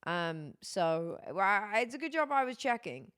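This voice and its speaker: A tired young woman streaming, chatting casually with her chat, her voice going hoarse. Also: medium pitch, sing-song, measured pace, fairly bright tone. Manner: rambling, chatty, many fillers.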